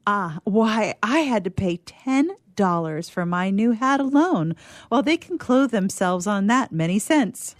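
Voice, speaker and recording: A woman's voice: mid-range voice, animated, measured pace, no echo, clean studio sound, lightly acted. A young actress playing a petulant, self-absorbed young woman, complaining theatrically to her mother in an old-fashioned radio theatre monologue. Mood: scornful.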